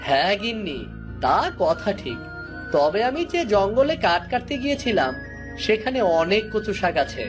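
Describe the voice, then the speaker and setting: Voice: medium pitch, sing-song, moderate pace, over music, natural voice. A performer voicing a poor, simple-hearted woodcutter husband in a cartoon, earnest and plaintive. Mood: cheerful. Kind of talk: Bengali cartoon voice acting.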